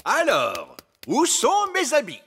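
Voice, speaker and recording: A man's voice: very high-pitched, wildly swooping pitch, takes it slowly, fairly bright tone, breathy edge, full character voice. A man playing a vain, pampered fairy king and father in a children's cartoon. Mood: pompous, content.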